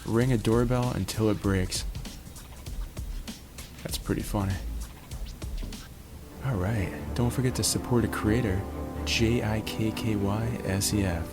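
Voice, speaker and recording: A man hosting his own channel, casually narrating a match from home in a laid-back, jokey, chatty way. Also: lowish voice. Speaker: gaming channel host. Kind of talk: relaxed gameplay commentary.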